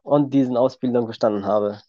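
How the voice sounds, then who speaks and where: Man in his twenties, mellow voice, dull lo-fi recording. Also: mid-range voice, steady tone, fairly quick, no room sound. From Syria. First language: Kurdish. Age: 20s. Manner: plain, simple sentences. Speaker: guest. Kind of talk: podcast interview.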